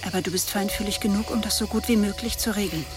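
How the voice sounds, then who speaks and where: A woman's voice: medium pitch, fast, close to an everyday voice. Composed, elegant mature woman over music, acted. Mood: gentle, apologetic. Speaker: actress in a radio drama. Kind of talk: radio drama acting.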